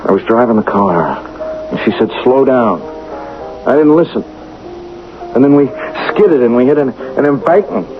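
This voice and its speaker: An actor playing a gentle, guilt-stricken young husband and football player in a radio drama, hesitant and deferential. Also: male voice, medium pitch, animated, moderate pace, long pauses, natural voice. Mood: anguished. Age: young adult. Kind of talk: radio mystery drama acting. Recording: muffled old radio recording with music.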